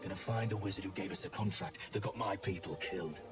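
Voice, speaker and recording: A man acting as a sardonic British sellsword in a video game, pitched on the low side. He is gruff and colloquial, a bitter survivor, heard a little far from the mic with music playing clearly behind him. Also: fast talker, close to an everyday voice. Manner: rough, sardonic, colloquial speech. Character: gruff cynical male mercenary survivor of a slaughtered crew.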